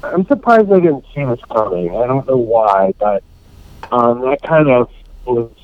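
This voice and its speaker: Male podcast host, mid-pitch, rambling casual recap, dry and slightly dull lo-fi sound. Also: big pitch swings, no echo. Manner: rambling, acts out scenes in quick put-on voices.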